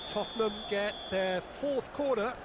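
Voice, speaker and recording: A man commentates a football match in a video game, calling the play as it unfolds. His voice sits high for a man. Loud stadium noise runs behind him throughout. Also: unhurried. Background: football game stadium ambience, ball thuds.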